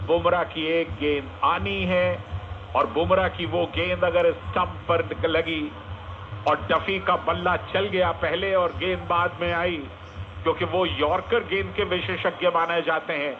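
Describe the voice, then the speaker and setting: A man's voice: high-pitched, fairly even intonation, a little slow. A man giving emphatic live cricket commentary, repeating phrases for effect. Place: live sports commentary picked up on radio. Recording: noisy narrowband AM radio broadcast.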